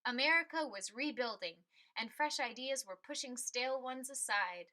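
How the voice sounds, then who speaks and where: A woman reading a picture book aloud as a storyteller, with expressive, emphatic and clearly phrased delivery. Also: high voice, normal speed.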